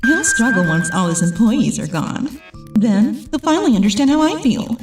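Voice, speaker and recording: A woman's voice: fairly high pitch, animated, normal speed, slightly rough, faint music behind. A woman voicing assertive office women in a dubbed comic drama. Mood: scheming. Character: bitter, bossy female ex-boss, and calm capable female employee.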